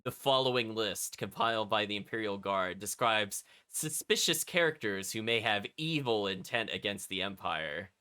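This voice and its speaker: A man's voice: on the low side, lively intonation, moderate pace, hardly any pauses, pushed and bright, clean tone. A man streaming a video game, reading its text aloud and chatting with casual asides to his viewers. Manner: chatty, often commenting as an aside.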